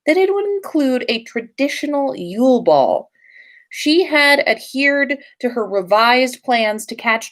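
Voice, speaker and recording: A woman hosting a livestream, chatting casually and reading stories aloud in an informal, playful way. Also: medium pitch. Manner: informal, playful, sweary, self-interrupting. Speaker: podcast host reading listener fan fiction.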